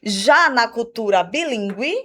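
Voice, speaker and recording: A woman with a Brazilian Portuguese accent, an English teacher explaining her school's program in a radio interview, measured and full of "né?" tags. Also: a little high, expressive, bright, forceful tone. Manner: measured, explanatory, repeats words with "né?" fillers.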